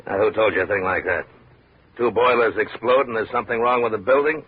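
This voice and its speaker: An actor playing a big, blustery, dismissive building contractor in a 1950s radio drama. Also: male voice, lowish voice, fairly quick. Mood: guarded, challenging. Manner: blustery, dismissive, smooth-talking evasive. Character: big, brusque, bullying male building contractor. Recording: narrow muffled vintage radio recording.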